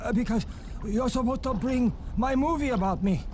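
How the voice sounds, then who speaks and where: A man's voice: extremely high pitch, normal speed, darkish tone, a little hiss or hum. An actor playing a childlike, stubborn, simple-minded grown man in a video game, rambling and repeating himself. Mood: stubborn, excitable. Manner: rambling, repetitive, childish phrasing. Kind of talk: video game character acting.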